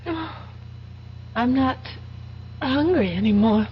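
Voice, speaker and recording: An actress in an old-time radio drama playing a starving, despairing young woman, weak, halting and tearful. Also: sits high, expressive. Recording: muffled vintage radio recording with hum.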